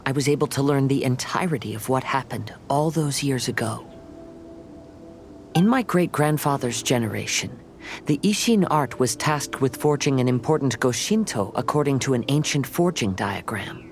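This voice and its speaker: A man playing a gentle young wandering samurai in a video game, speaking in measured, formal, reflective phrases. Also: mid-range voice, expressive, a little hiss or hum, lightly acted. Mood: calm, reflective. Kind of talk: video game story dialogue.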